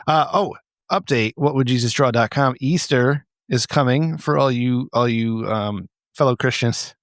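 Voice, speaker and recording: A man's voice: mid-range voice, unhurried, dry recording. Male podcast host, mid-range voice, profane and teasing, easy laugh, very dry close-mic sound. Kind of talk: casual comedic banter, profane. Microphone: close mic on a group remote call.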